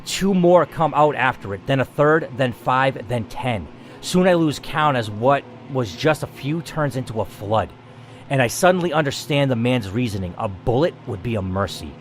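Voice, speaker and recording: A man's voice: mid-range voice, lively intonation, normal speed, soft background music. A man reading a first-person game story aloud as the player, in a dramatic narrating style.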